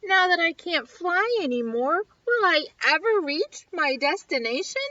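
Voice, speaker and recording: A woman voicing an anxious, dejected little male bumblebee in a children's storybook, plaintive and exclaiming with drawn-out words. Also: extremely high pitch, big pitch swings, pushed and bright, no room sound, far from the mic, pitched above the performer's own voice, exaggerated voice. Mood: frustrated, hopeful. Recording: dull lo-fi sound.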